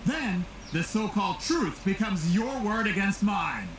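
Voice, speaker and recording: An actor playing a greedy, scheming merchant villain in a video game, pompous, theatrical and disdainful. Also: male voice, sits high, animated, measured pace, roomy sound, recorded from a distance, background noise. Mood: smug, scheming.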